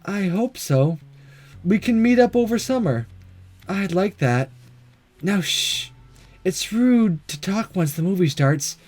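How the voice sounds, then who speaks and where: Brief: man reading as a direct, affectionate young woman, gentle lifted voice, lively lilt. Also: sits high, takes it slowly, long pauses, soft background music, exaggerated voice. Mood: tender. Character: young woman, straightforward affectionate schoolgirl sweetheart.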